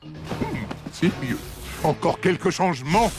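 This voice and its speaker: A male actor voicing a gloating, grandiose villain, a megalomaniac puppet master in an animated film. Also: on the high side, unhurried, close to an everyday voice. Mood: triumphant. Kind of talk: animated film dub acting. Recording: loud music behind the voice.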